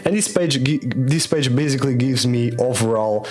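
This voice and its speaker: A man's voice: mid-range voice, normal speed, a little mellow, soft background music. A young man whose first language is Portuguese, talking to camera in a careful, earnest way with frequent restarts. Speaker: YouTube creator. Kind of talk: casual explanatory talk to camera.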